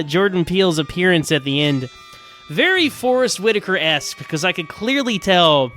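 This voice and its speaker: A man's voice: fairly high pitch, pushed and bright. A man giving casual, rambling episode commentary as a podcast host, often stammering over repeated words.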